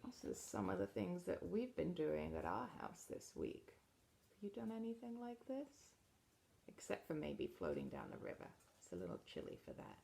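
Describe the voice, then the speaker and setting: Woman reading aloud to kids: very animated, unhurried, gravelly edge to the voice. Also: on the low side, a little hiss or hum. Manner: expressive read-aloud, dropping to soft chatty asides about the pictures. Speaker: storybook reader. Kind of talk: reading a picture book aloud to children.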